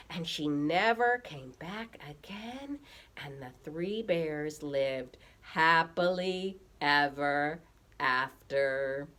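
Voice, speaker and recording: A woman preschool teacher speaking expressively to young children in simple, repetitive phrases, as in puppet storytelling. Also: on the high side.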